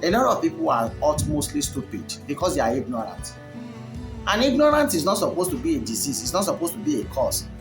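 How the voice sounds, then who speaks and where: A man's voice: fairly high pitch, sing-song, brisk, over music. A man, a Yoruba speaker, delivering a rambling, preachy monologue full of fillers and restarts. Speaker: commentator. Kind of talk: unscripted monologue to camera.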